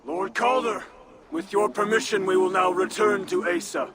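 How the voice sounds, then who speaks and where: A dutiful fire-giant captain for a fantasy game. He speaks high and formally, deferential to his superior, with clear crowd noise behind him. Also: steady tone, moderate pace. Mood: respectful. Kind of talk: dramatic game cutscene acting.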